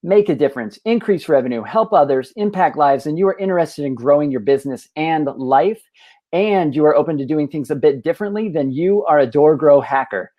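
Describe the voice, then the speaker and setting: A man hosting a business podcast, opening with an energetic scripted welcome before interviewing his guest. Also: a little high, a little fast, dull audio. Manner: energetic, promotional. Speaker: podcast host. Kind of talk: scripted show intro then interview.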